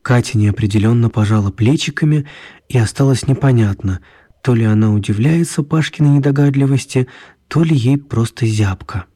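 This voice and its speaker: A man narrates a children's radio play in a calm, even storytelling manner. His voice is husky and sits at an ordinary male pitch. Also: normal speed.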